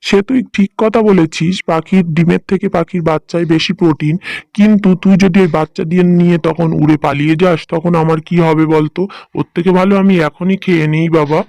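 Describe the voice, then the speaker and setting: A hungry cartoon wolf played by a man, bossy and easily fooled. He talks down to others in a grudging way. The voice sits fairly high for a male and sounds very dry and close. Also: fairly even intonation, a little fast, creaky, a little mellow, dry recording. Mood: menacing, gullible. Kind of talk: cartoon voice acting.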